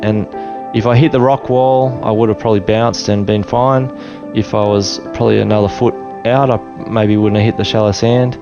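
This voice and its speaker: A middle-aged Australian man telling his life story in a relaxed, rambling way with many fillers and restarts. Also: lowish voice, normal speed, highs cut off, music in the background. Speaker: interview guest. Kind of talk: casual storytelling interview.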